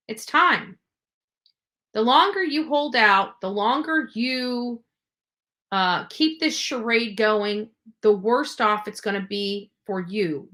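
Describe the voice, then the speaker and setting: A woman's voice: a little high, bright, forceful tone. A woman giving unscripted, opinionated livestream commentary, emphatic and accusatory, repeating phrases for force. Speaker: livestream commentator on true crime cases.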